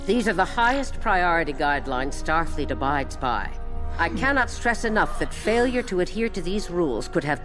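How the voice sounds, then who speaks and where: A woman's voice: on the low side, lightly acted. Actress as strict space fleet mentor lady, very formal n emphatic, cartoon show w music behind. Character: stern, earnest female Starfleet mentor lecturing on rules. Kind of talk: animated series dialogue.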